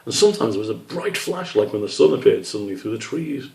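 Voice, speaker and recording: A man's voice: medium pitch, lively intonation, fast, creaky, darkish tone. A man reading aloud from his own children's book as a storybook narrator. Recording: roomy echo, distant microphone.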